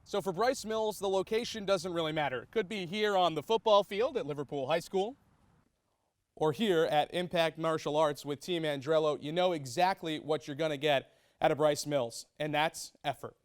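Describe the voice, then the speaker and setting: Young male sports reporter, slightly high voice. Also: moderate pace. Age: young adult. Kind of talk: broadcast sports interview and feature narration.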